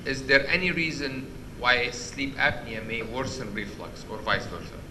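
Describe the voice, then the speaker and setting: A man with a loud voice giving an interactive medical lecture, quizzing the audience and restarting his sentences often. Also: mid-range voice, moderate pace, background noise. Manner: questions the audience, many restarts. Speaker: physician lecturer. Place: conference hall.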